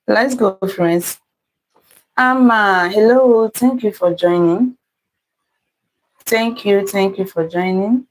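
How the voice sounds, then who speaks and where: A woman's voice: medium pitch, low-quality recording. A woman with a Nigerian accent hosting an interactive math puzzle live stream, reading out comments and greeting viewers by name. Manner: unhurried, repeats greetings and thanks to viewers by name.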